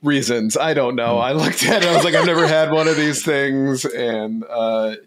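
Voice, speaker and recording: A man with a mid-range voice on a gaming podcast. He talks in a relaxed, easygoing way and often laughs at himself. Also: mid-range voice, moderate pace, noisy background. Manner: laid-back, joking. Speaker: podcast co-host. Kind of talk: casual chat.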